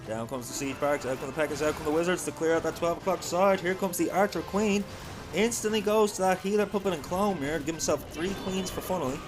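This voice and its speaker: A man's voice: a little high, quick pace, music in the background. An Irish man hosting a livestream, giving chatty live game commentary and talking directly to his viewers. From Ireland. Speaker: livestream host and esports caster.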